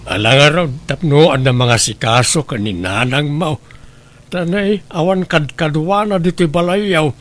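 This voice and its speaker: An actor plays a practical uncle in a radio drama. His voice is a little high for a man, and he speaks calmly as he advises. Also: measured pace. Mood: calm, advising. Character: calm, practical uncle urging his niece.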